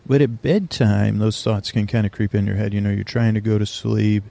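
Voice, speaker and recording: A low-voiced man with a gravelly, mellow tone rambles slowly through a bedtime monologue for a sleep podcast. He drifts off topic, restarts his sentences and keeps a languid, unhurried pace. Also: steady tone. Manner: languid, meandering, self-interrupting.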